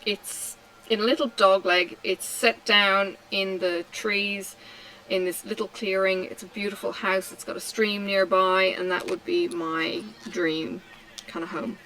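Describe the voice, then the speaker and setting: A middle-aged woman from Tasmania chatting casually while she crafts, answering questions slowly with many ums and pauses. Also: mid-range voice, fairly even intonation, pushed and bright, slight background noise, rough recording. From Australia (Tasmania). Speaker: craft vlogger. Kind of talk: relaxed chat while crafting.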